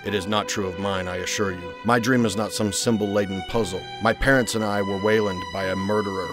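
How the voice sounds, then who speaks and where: A man voicing a brooding, haunted young gentleman heir in a motion comic, formal, solemn and deliberate. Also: lowish voice, fairly even intonation, over music, lightly acted. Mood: guarded, resolute. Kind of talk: dramatic motion-comic acting and diary narration.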